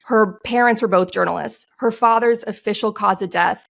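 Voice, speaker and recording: A woman's voice: medium pitch, moderate pace, creaky. A woman guest on a podcast, telling a story in a passionate, outspoken way. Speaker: guest, screenwriter. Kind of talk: interview answers.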